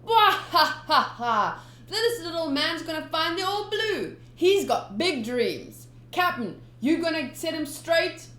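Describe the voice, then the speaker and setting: A woman voicing a brash male pirate crewman who jeers loudly in an echoey room. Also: very high-pitched, very animated, takes it slowly, hardly any pauses, voice pushed up, heavily acted. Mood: jeering. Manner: loud, jeering.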